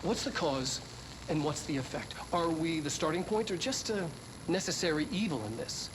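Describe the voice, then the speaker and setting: An actor playing an earnest scientist of the paranormal in a game, musing in a deliberate, searching lecture style. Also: male voice, fairly high pitch, expressive, natural voice. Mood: pondering, solemn. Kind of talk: recorded scientific lecture to camera. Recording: clearly noisy background hum.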